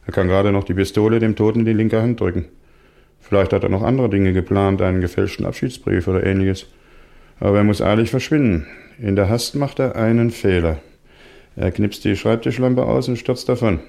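A man's voice: fairly low pitch, fairly quick, creaky, warm tone. An actor playing an eager junior police detective in a radio crime play, earnest and respectful as he reasons aloud. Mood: earnest, reasoning. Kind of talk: scripted German radio crime drama.

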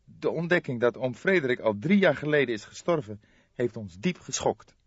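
Audiobook role: male reader as hesitant, distraught young man begging for help. High, swooping, emotional, trembling delivery. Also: lively intonation, moderate pace, husky, no echo, highs cut off. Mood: anxious, pleading. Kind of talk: audiobook character voice.

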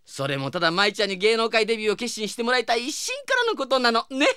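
A woman's voice: a little high, sing-song, fast, sharp tone, smooth, no room sound, clean studio sound. A woman playing a gushing, pushy, eccentric talent manager in an anime comedy drama, with effusive, singsong exclamations. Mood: coaxing.

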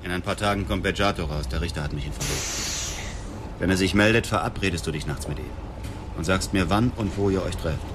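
A bitter middle-aged widower, played by a male actor, speaks in an extremely low voice. He is brooding, controlled and quietly menacing, as in a radio crime drama. There is clear noise behind him. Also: fairly quick, natural voice. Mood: cold, commanding. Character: cold, bitter widower bent on revenge, middle-aged man. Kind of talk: radio drama narration and dialogue.

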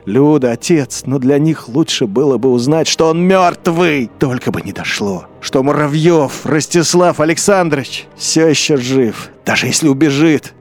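An actor playing an anguished, defeated young army lieutenant, brooding in halting phrases in a radio play. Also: male voice, a little high, close to an everyday voice. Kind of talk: radio play inner monologue.

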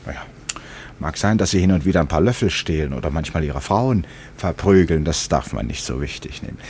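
A man's voice: very deep voice, expressive, fairly quick, darkish tone, slight background noise. An actor playing an eccentric, stubbornly certain Englishman in a German radio play, declaiming with emphatic, rhetorical delivery. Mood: musing, certain.

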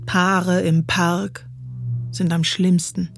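A woman performing a literary poetry recitation in a measured, deliberate way with dramatic pauses. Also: lowish voice, flat delivery, vocal fry. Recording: music behind the voice. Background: music.

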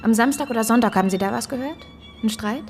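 Actress as a brisk detective, echoing hall. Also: female voice, a little high, faint music behind, lightly acted. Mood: blunt. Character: brisk, blunt female police detective. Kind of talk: naturalistic radio drama dialogue. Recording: long echoing hall reverb.

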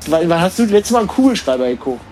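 High-pitched, lively man, needling. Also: racing. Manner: needling, insistent questioning. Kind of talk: improvised comic banter. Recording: noisy radio compilation recording.

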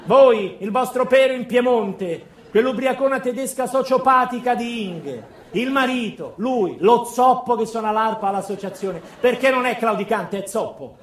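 A furious man who hates everyone , acted by a male stage performer. He rants high and loud, scornful and emphatic, hammering on repeated phrases. It is comic theatre in an echoey room with faint noise. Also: normal speed, few pauses. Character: furious misanthropic man ranting at people he hates.

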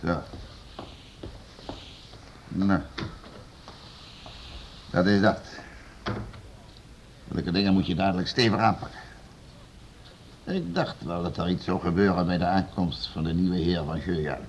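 A man's voice: low voice, expressive, measured pace, long pauses, lo-fi sound, slight background noise. An actor playing a commanding, scornful nobleman in a radio play. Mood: self-assured. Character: stern, domineering male nobleman, bullying and sure of himself.

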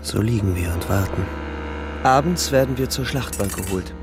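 Radio play lead: young soldier narrator played by a man, low voice, terse first-person delivery over music. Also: normal speed, natural voice. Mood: grim, bitter. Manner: terse, present-tense storytelling. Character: young male German soldier narrating front-line ordeal.

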